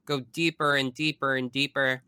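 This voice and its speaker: A man's voice: mid-range voice, little pitch movement, normal speed, bright, forceful tone, clean tone, no echo. A man talking casually as a podcast guest about video games, opinionated and emphatic, often wandering off into asides.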